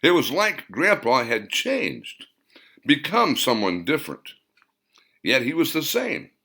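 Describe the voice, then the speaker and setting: A man's voice: medium pitch, sing-song, takes it slowly, creaky, sharp tone, voice pushed up, heavily acted. A man voicing an earnest male character who tells nostalgic, dramatic boyhood memories in an audiobook. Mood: earnest, suspenseful. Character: earnest male storyteller recalling boyhood summers with Grandpa.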